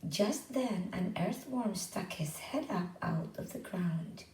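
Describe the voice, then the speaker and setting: A woman reading a children's picture book aloud in an expressive, deliberate storytelling manner. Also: fairly low pitch, a little hiss or hum. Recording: roomy echo, distant from microphone.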